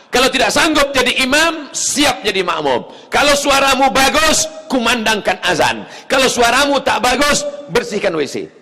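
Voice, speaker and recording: Man preaching with sweeping shouted appeals. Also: very high voice, sing-song, normal speed, hardly any pauses, big-room sound. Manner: swings from quiet asides to loud shouted appeals. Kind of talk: mosque sermon. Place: mosque.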